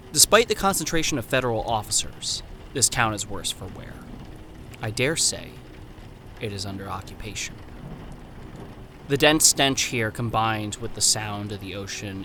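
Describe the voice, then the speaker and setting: Casting: male actor as wary young 1930s anthropologist, formal and precise, sharp forward tone, horror podcast letters, noisy backdrop. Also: mid-range voice. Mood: uneasy, measured. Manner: formal, precise, measured diction. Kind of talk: scripted horror audio drama, letter narration.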